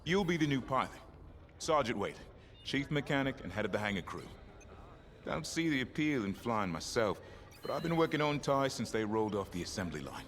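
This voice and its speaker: An actor plays a dependable male sergeant who runs a starfighter hangar in a video game. His voice is fairly low, with a plain, practical, no-nonsense delivery. Music plays clearly behind him. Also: close to an everyday voice. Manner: plain-spoken, brisk, practical. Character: hearty, dependable male chief mechanic and military sergeant.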